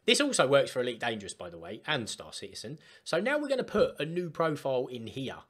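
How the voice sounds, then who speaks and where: A man chatting casually through a step-by-step software tutorial for gamers. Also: mid-range voice, huge pitch swings, fast talker. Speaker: gaming tutorial host. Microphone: desktop microphone, voice-over of screen capture.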